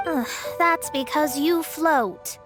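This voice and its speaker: Haughty high-voiced young astrologist. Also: female voice, slow pace, music in the background. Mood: irritated. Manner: haughty, formal, theatrical. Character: proud young female astrologist, haughty, dramatic, secretly flustered. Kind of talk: anime game voice acting.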